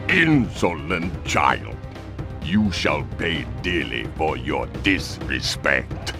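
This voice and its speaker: An actor voicing a huge, proud, menacing panda crime lord in a video game, speaking in a formal, pompous manner. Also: male voice, very deep voice, very animated, music in the background, full character voice. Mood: grand wrath. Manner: formal, pompous, slow-spoken.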